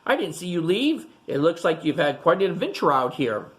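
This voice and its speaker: A woman reading the lines of a kindly man who looks after a little monkey in a children's storybook, gentle and friendly. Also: fairly low pitch, big pitch swings, moderate pace. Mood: relieved, friendly.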